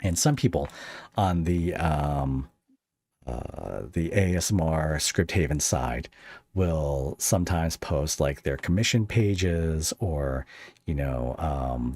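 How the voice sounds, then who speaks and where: A man's voice: rumbling low, a little mellow, no echo. A man hosting a casual podcast interview, talking informally in a rambling, hesitant way.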